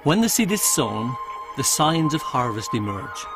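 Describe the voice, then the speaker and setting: A man reading scripted documentary narration in a measured, earnest way. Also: medium pitch, very animated. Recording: music bed underneath.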